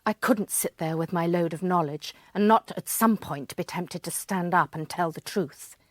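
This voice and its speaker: A woman's voice: lowish voice, moderate pace, no room sound, natural voice. An actress playing a conscientious, troubled woman who narrates a radio drama, with a British accent and precise, well-spoken delivery. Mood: measured narration. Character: conscientious, troubled middle-class woman, narrator and reluctant confidante.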